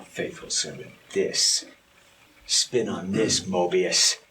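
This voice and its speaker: A man's voice: sits low, fairly even intonation, slow pace, bright, forceful tone, recorded from a distance. A man joking casually over video game outtakes as a let's play commentator, wry and prone to mimicking lines.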